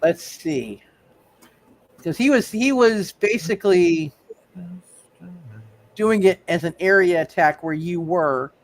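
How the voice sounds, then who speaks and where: A man running a live tabletop superhero game as game master, thinking aloud in halting phrases and repeating his words. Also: high-pitched.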